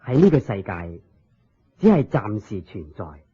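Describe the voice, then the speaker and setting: A slow, husky, serene Buddha. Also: male voice, lowish voice, very animated, mellow, heavily acted. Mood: calm, teaching. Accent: Hong Kong Cantonese. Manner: measured, sermon-like explaining in short phrases. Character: serene, wise Buddha patiently teaching a king. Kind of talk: Cantonese radio drama acting. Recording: dull lo-fi radio drama recording.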